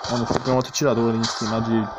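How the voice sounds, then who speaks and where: A man's voice: medium pitch, level tone, rapid-fire, heavy background noise. A man with a Brazilian accent giving casual, slangy, explanatory live commentary as a gaming streamer. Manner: slangy, explanatory, asks and answers own questions. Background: game music.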